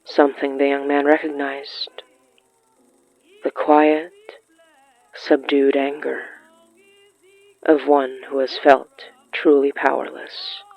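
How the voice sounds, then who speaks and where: Literary audio-fiction narrator, medium pitch, deliberate with dramatic pauses, close dry sound. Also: completely flat delivery, vocal fry, dry recording, lo-fi sound, faint music behind. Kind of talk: scripted horror fiction narration.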